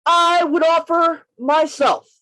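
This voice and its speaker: A woman's voice: very high-pitched, bright, forceful tone, dry recording, full character voice, low-quality recording. A woman playing a bold, defiant male undercover detective in an amateur radio play reading, with emphatic, declamatory delivery over a video call. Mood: defiant oratory. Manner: declamatory, emphatic, deliberate phrasing.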